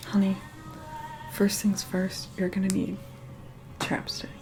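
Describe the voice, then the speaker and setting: A woman plays an immortal vampire woman in an ASMR role-play, speaking mostly in soft whispers. She is haughty and impatient, giving curt, condescending orders as a reluctant helper. Loud music plays behind her voice. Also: mid-range voice, monotone, slow pace, low-quality recording. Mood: condescending, bossy.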